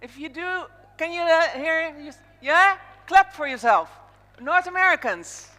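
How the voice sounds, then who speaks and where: A woman's voice: very high-pitched, animated, a little slow, bright, forceful tone. A woman from the Netherlands with a Dutch accent, speaking informally as master of ceremonies in a live conference opening address. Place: large indoor conference hall, on stage. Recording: echoey large hall reverb over PA.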